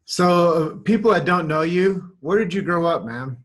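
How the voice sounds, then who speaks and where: A man's voice: a little high, moderate pace, a bit bright. Upbeat man hosting a podcast. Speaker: podcast host. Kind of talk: casual interview.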